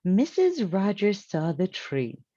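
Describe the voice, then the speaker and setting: A woman with an American accent reads a children's story aloud in an animated, deliberate way. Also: medium pitch, dry recording, dull audio. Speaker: storytime reader.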